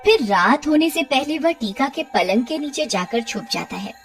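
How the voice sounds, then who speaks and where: A woman narrates a horror tale for a cartoon. Her voice is fairly high and very lively, rising and falling often, with clear music underneath. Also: normal speed. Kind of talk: scripted cartoon horror storytelling.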